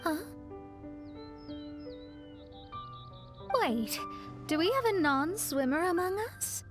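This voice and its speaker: High, lively young astrologer. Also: female voice, measured pace, music in the background. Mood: teasing. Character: proud, self-conscious young female astrologer. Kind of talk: video game cutscene acting.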